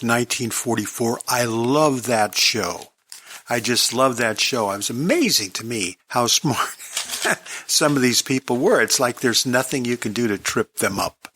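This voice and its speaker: A man's voice: mid-range voice, fairly bright tone. A man hosting a podcast, introducing material in a casual, chatty way with enthusiasm and playfulness. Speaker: podcast host.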